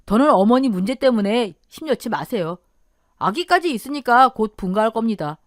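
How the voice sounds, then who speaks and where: A woman's voice: fairly high pitch, lively intonation, moderate pace, no room sound, studio quality, natural voice. A woman narrator voicing a polite young son-in-law, deferential and earnest. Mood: reassuring.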